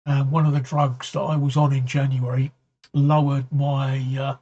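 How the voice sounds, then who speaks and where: Male livestream host, husky and going hoarse, halting casual chat with frequent throat clearing. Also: medium pitch, little pitch movement, dark tone, muffled recording. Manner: halting, repeats words, often clears throat. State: voice getting hoarse. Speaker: livestream talk show host. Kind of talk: casual livestream chat answering viewer comments.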